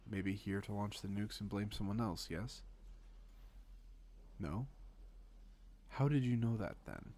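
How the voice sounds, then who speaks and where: A man playing a suave, teasing secret agent in an audio roleplay, speaking with wry politeness and calm menace in a creaky voice. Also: lowish voice. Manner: polite, wry, unhurried conversational patter with pointed pauses. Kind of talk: scripted audio roleplay, intimate one-sided dialogue.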